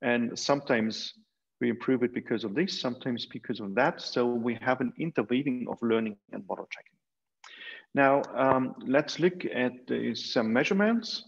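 A middle-aged man giving an academic keynote over a video call, measured and full of fillers and restarts. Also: medium pitch, creaky, breathy edge. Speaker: keynote speaker. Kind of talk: academic conference talk. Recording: dull lo-fi video call audio.

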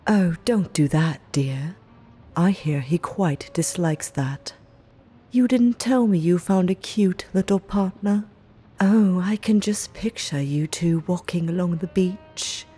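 A woman's voice: fairly low pitch, slow pace, mellow, husky, soft background music. A woman playing a playfully menacing, eccentric mob underling in an audio roleplay, speaking coyly in theatrical, old-fashioned phrases. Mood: mocking, playful. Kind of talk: ASMR audio roleplay acting.

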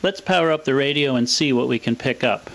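A man's voice: medium pitch, fairly quick, vocal fry, fairly bright tone, low-quality recording. A man narrating a demonstration in a matter-of-fact way. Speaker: presenter and radio hobbyist. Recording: hissy home recording.

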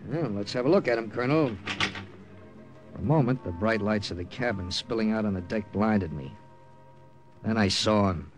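An actor with an American accent playing a seasoned secret agent captain who narrates a 1950s radio drama. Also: male voice, on the low side, moderate pace, pauses often, close to an everyday voice, rough recording. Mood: guarded. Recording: old radio broadcast, music underneath.

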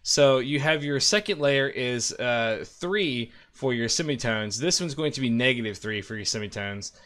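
A man in his thirties giving an informal, upbeat screen-recorded software tutorial as host. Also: medium pitch, expressive, normal speed. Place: indoor room at a desk.

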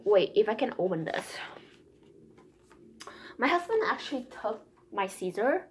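Higher-voiced young Vietnamese woman vlogging. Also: a bit bright, slight background noise. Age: young adult. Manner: chatty, rambling, filler-heavy. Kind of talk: casual shopping haul chat. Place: small quiet room at home.

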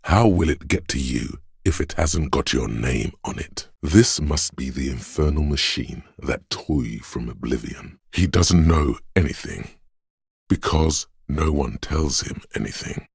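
A super deep raspy inner voice of logic for a video game, measured, dry, kinda superior. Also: male voice, no echo, exaggerated voice. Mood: measured, analytical. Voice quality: gravelly, husky. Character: inner voice of cold logic, a man's analytical, condescending mind. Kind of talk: video game narration, addressing the player.

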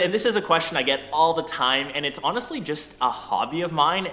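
A young man talks in a casual, upbeat way, touring his new studio and answering questions. His voice is a touch high and bright. The bare room gives it a roomy echo. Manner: upbeat, chatty, conversational. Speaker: YouTuber. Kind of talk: casual vlog walkthrough and Q&A. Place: empty condo studio, large bare room. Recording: echoey empty room.

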